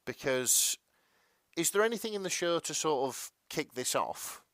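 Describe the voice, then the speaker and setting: A man co-hosting a podcast, chatting casually in a slightly halting, hesitant way. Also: fairly high pitch, big pitch swings, a bit bright, dry recording, studio quality. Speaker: podcast co-host. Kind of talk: casual chat.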